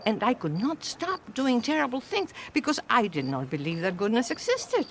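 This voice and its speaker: A woman playing a kindly, reflective, gently amused older nun in a video game cutscene. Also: fairly high pitch, sing-song, normal speed, a little hiss or hum. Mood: earnest, consoling. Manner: gentle, reflective, good-humoured.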